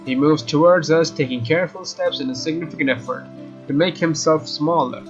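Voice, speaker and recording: A man reads visual novel narration aloud as a let's play host, dropping in casual comments. His voice is a little higher than average. The recording is slightly dull, with soft game music behind. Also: brisk. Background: faint game music.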